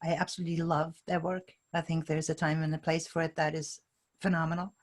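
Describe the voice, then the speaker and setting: A woman's voice: deep voice, little pitch movement, fairly quick. A woman leading a tapping session, calling out tapping points and giving short phrases for someone to repeat. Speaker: EFT tapping practitioner.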